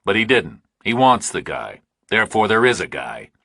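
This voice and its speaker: A man reads as a tough male investigator in an audiobook. He is unflappable and laconic, very deep and husky, with matter-of-fact delivery. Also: sing-song, moderate pace, sharp tone, dry recording, clean studio sound, heavily acted. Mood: calm, assured.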